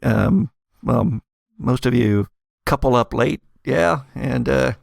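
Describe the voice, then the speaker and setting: A man acts out a laid-back young nonbinary biker for an actual-play audio drama. The talk is halting and slangy, wandering through half-finished thoughts. The voice has plenty of fry and sounds close and dry. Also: medium pitch, darkish tone, dry recording, exaggerated voice. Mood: awkward, rambling. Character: easygoing, awkward young nonbinary motorcyclist.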